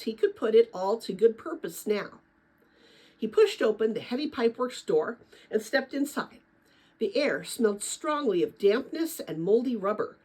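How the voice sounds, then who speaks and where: Older woman, retired teacher, very animated intonation, raspy edge, informal storybook read-aloud, chatty. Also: a little high, poor audio. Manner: chatty, animated, rambling with personal asides. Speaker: retired teacher reading a children's book aloud.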